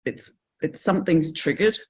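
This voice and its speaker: A woman's voice: sits low, moderate pace, a little mellow, husky. A woman taking part in a video call session, speaking hesitantly about something personal. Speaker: participant.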